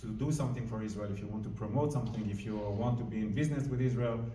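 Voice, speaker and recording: A man's voice: fairly low pitch, racing, mellow, a little hiss or hum. A man, a diplomat giving an earnest, informal speech to a live audience in a large community hall. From France. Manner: earnest, informal, searching for words. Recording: roomy hall, mic at a distance.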